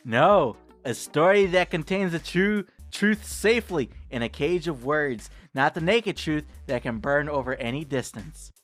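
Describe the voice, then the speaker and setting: A man's voice: a little high, animated, measured pace, few pauses, a bit bright. A man voicing an otherworldly being of the universe, narrating to a player in a theatrical, solemn read-along style over music. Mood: solemn, soothing. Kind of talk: dramatic read-along of a scripted dialogue. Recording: music behind the voice.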